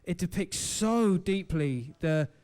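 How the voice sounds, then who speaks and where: A man hosts a live talk show in a noticeably high voice, chatting loosely with his guest. He keeps the conversation friendly and casual, with plenty of banter. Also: animated, slow pace, a little mellow. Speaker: podcast host. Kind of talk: casual live interview chat.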